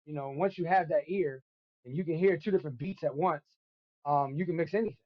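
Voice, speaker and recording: Animated New man in his fifties. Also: fairly high pitch, quick pace, muffled recording. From Brooklyn, New York. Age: 50s. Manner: animated storyteller, street slang. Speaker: guest DJ. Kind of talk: casual podcast chat.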